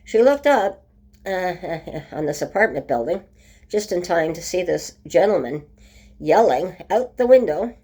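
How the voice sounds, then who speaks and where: A woman talking casually in a home video update, her voice rough from a cold, full of ums and restarts. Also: fairly low pitch, very animated, measured pace, dark tone. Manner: chatty, many ums and restarts. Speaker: vlogger. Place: at home by a window.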